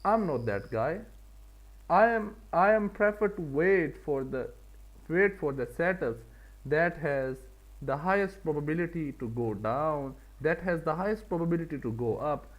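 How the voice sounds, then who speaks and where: A man's voice: fairly high pitch, lively intonation, unhurried, poor audio. A man with a Pakistani accent hosting a casual live trading stream, repeating and rephrasing his points for emphasis. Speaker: live-stream forex trader and host.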